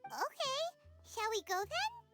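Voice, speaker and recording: A woman voicing a perky, chatty, tiny childlike fairy companion in an anime-style video game, with a squeaky voice. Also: wildly swooping pitch, slow pace, sharp tone, faint music behind, full character voice. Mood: cheerful.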